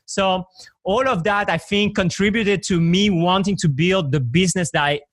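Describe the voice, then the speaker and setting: A man with a Belgian accent talks in a relaxed, meandering way, piling up fillers and self-corrections. His voice sits slightly high for a man. The sound is close and dry, with no room around it. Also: normal speed, no room sound. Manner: relaxed, rambling with many fillers and restarts. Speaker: software founder guest. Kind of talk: casual podcast interview.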